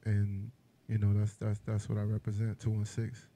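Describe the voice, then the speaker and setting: A man from Ohio, a guest artist, chatting casually in a laid-back, measured way with many "you knows". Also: lowish voice, completely flat delivery, mellow. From Ohio, born in Puerto Rico. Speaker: hip hop artist guest. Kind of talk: casual interview chat.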